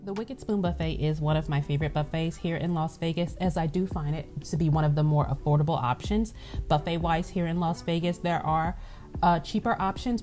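A young woman narrates a review vlog in a low, relaxed voice. She speaks casually and informatively, like someone passing on practical advice. Light music plays behind her. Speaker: vlog host.

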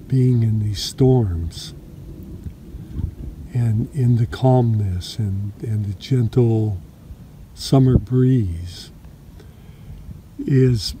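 A man sharing a spiritual reflection outdoors by a shoreline, talking softly and haltingly with many pauses and fillers. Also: medium pitch, a little hiss or hum. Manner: slow, soft-spoken, halting with many fillers. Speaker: meditation teacher. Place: lakeshore outdoors.

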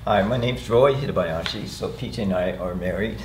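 A man's voice: low-pitched, a little fast. A man in his sixties from California recalling his youth in a group interview, easygoing and chuckling often. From California, USA. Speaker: interviewee, taiko founder. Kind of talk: group interview, personal recollection. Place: museum meeting room, seated in a group. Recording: roomy room, faint noise.

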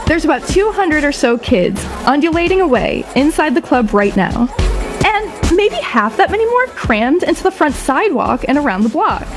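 A woman's voice: high voice, big pitch swings, moderate pace. A woman narrating a scripted audio drama in a knowing, playful storyteller's manner. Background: music under the voice.

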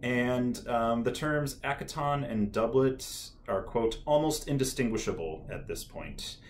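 A man's voice: mid-range voice, unhurried, a little hiss or hum. A young man hosting a history video, talking casually to camera in a small room, with plenty of ums and an enthusiastic, nerdy tone. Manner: relaxed, many ums and uhs, nerdy enthusiasm. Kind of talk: casual educational talk to camera. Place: small room indoors, dark backdrop.

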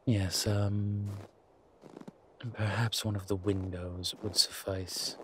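A man playing a haughty, wry vampire gentleman in a roleplay audio drama, speaking formally and with refinement. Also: on the low side, little pitch movement, background noise. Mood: wry, cautious. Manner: refined, formal, wry phrasing with hesitant pauses.

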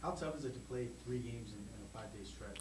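A man's voice: mid-range voice, fast, roomy sound, far from the mic, heavy background noise. A male reporter putting a short, direct question to the coach. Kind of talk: press conference question.

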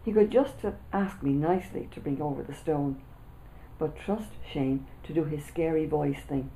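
Author reading: older woman, mellow low voice, lively storyteller, small room. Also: normal speed, slight background noise, low-quality recording. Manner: lively first-person storytelling. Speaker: author reading her book. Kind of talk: children's book reading. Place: small indoor room with printed backdrop.

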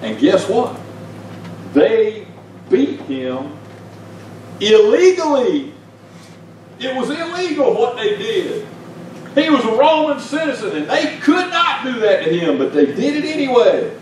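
A middle-aged man preaching a sermon in a small church, talking conversationally with rhetorical questions and swinging between raised emphasis and quiet asides. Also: extremely high pitch, big pitch swings, slow pace, long pauses. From Georgia, US. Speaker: pastor. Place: small church sanctuary, indoors. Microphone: stand mic at pulpit, filmed by far camera. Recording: very echoey church room, distant mic, noisy.

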